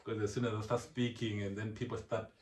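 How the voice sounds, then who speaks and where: Laid-back Zimbabwean-born man, deep voice, echoey room. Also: little pitch movement, quick pace, darkish tone. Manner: laid-back, rambling, laughs often, says "man" a lot. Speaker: music vlog host. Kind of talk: casual chat.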